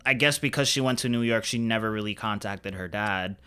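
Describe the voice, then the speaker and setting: Bright, clear-voiced man chatting casually. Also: on the low side, brisk. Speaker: podcast co-host. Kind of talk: casual chat about films.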